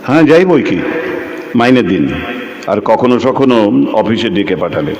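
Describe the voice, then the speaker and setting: An actor playing a reclusive, brooding lighthouse keeper in a Bengali audio drama, speaking deliberately with dramatic pauses. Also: male voice, mid-range voice, big pitch swings, darkish tone, lightly acted. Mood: guarded. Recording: clearly noisy background ambience.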